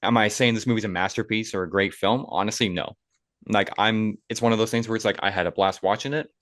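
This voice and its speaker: A man with a deep voice hosts a casual podcast chat. The sound is dry and close, with an easygoing conversational delivery. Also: fairly even intonation, fast talker, a bit bright, no echo. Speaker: podcast host.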